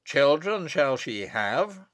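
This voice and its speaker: Man acting a stern, booming, wrathful abbot. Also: sing-song, takes it slowly, a bit bright, dry recording, heavily acted. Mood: prophetic, ominous. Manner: declamatory, solemn, archaic incantatory speech. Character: stern, wrathful abbot pronouncing a prophetic curse.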